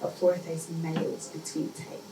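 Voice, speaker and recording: Woman, lowish voice, spoken-word poetry, deliberate pauses, distant echoey recording. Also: monotone. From Scotland. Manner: measured, deliberate phrasing with repetition and pauses. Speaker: poet. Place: indoor live poetry event. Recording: echoey, noisy room, mic distant.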